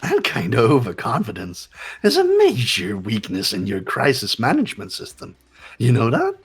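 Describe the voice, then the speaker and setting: Game villain: sadistic bear mascot, slightly husky, wildly animated, gloating and theatrical. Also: mid-range voice, normal speed, soft background music, exaggerated voice. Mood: boastful, theatrical. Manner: taunting, theatrical, swooping. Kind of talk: fan-voiced video game villain acting.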